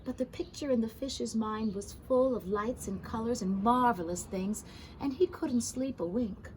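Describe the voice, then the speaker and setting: A woman reading a children's story aloud expressively, pausing for gentle asides to young listeners. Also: fairly high pitch, slight background noise, low-quality recording. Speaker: storybook reader.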